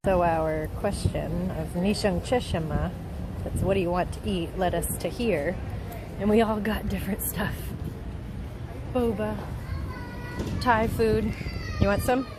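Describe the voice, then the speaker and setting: A woman's voice: medium pitch, measured pace, long pauses. A woman casually vlogging with family, coaching phrases in a teacherly way by prompting and repeating them. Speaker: mother filming a family language-learning vlog. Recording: noisy outdoor and car ambience.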